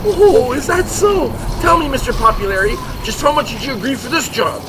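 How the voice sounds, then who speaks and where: An actor plays an exasperated male monster hunter in a comedy audio drama. His voice runs high and jumps around with sarcastic indignation. Outdoor noise sits clearly behind him. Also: lively intonation, fairly quick. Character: exasperated, foul-mouthed brother in monster hunting trio.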